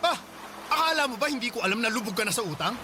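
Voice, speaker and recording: High, fast, exasperated Filipino husband. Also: male voice, lively intonation, music in the background. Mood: stern, scolding. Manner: exasperated, scolding, insistent. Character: frustrated, thrifty husband and generous uncle, strict. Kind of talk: radio drama acting.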